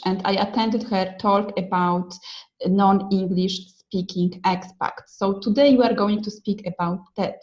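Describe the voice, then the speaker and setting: A woman's voice: medium pitch, muffled recording. A woman hosting a podcast, introducing her guest and asking questions in a friendly, encouraging way. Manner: friendly, encouraging, short affirmations. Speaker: podcast host. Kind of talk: podcast interview.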